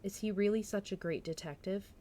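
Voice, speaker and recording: Woman putting on a blustering old colonel, deliberate. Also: medium pitch, steady tone, mellow, natural voice. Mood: hearty, inquisitive. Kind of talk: storybook read-aloud.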